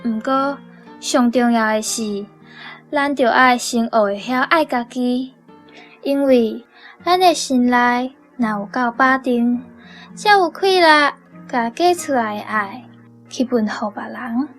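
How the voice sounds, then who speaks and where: A young woman with a Taiwanese accent reads a reflective personal essay. Her voice sits fairly high and she takes her time, with a very slow, measured pace. Music plays softly behind her. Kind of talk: reading a personal essay aloud.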